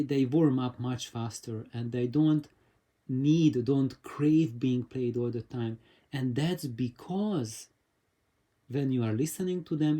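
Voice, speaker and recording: A man giving an informal explanation to viewers as a video host, in a halting way with repeated and restarted phrases. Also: mid-range voice, warm tone. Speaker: audio reviewer and vlog host.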